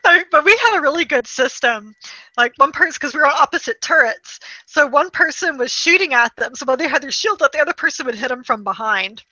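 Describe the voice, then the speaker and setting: A woman with a bright, fairly high voice co-hosts a casual chat. She tells stories in an animated way, acting out who said what, and the sound is close and dry. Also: fast talker, no room sound, highs cut off. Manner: animated storytelling with acted-out quotes. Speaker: podcast co-host. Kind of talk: casual chat about films and video games.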